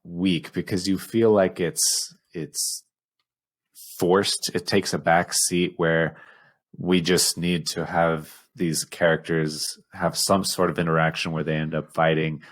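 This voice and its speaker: A man with a low voice speaks as a podcast co-host. His delivery is informal and conversational, in the manner of a relaxed film discussion between two people. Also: slow. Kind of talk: casual film podcast chat.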